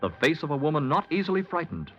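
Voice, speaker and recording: An actor as a solemn, punchy announcer narrating a 1940s radio crime drama with dramatic delivery. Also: male voice, a little high, sing-song, fast. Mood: suspenseful. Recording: muffled vintage radio recording with music.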